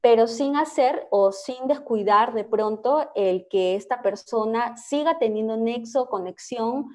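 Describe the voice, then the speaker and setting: A woman clinical psychologist, a guest explaining in an interview, measured and explanatory, with frequent hesitations. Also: fairly high pitch.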